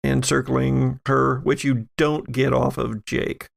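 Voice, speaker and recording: A man's voice: medium pitch, little pitch movement, slow pace, vocal fry. A man narrating a tabletop roleplaying game as the game master.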